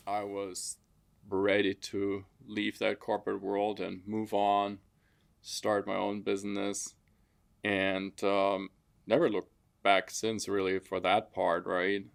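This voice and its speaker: A man's voice: fairly low pitch, monotone, slow pace, gravelly. A man from Germany with a German accent, telling personal stories casually as an interview guest. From Frankfurt, Germany. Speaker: interview guest, construction business founder.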